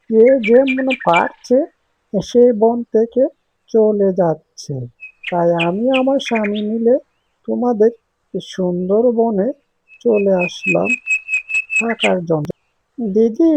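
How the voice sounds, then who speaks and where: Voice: medium pitch, vocal fry. A voice actor playing a deceitful female crow who talks in a humble, pleading, ingratiating way. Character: deceitful female crow posing as poor refugee. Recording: noisy recording.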